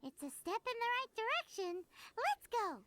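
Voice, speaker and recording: A woman voicing a tiny cheerful, cheeky fairy companion in an anime video game, with a squeaky childlike voice and a bubbly, pushy manner. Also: very animated, moderate pace, heavy background noise. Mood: excited, urging. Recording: loud music under the voice. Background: game music.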